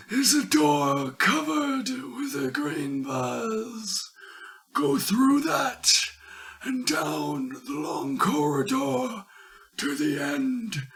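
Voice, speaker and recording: A man voicing a frail, eerie old man in a ghost story, with a thin creaky voice and drawn-out, quavering delivery. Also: very high-pitched, fairly bright tone, voice pushed up, exaggerated voice. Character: eerie frail old man with withered arm, grimly warning.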